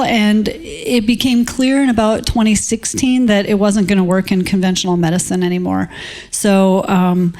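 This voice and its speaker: An American woman in her fifties speaks informally to an audience as a physician on a panel. Her mid-range voice carries a slight hoarseness from singing. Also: medium pitch, darkish tone. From South Dakota, United States. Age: 50s. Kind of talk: informal self-introduction to an audience.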